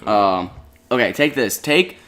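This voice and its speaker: A man co-hosting a casual chat, speaking loosely and haltingly with many fillers. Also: lowish voice, animated, fairly bright tone. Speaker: podcast co-host.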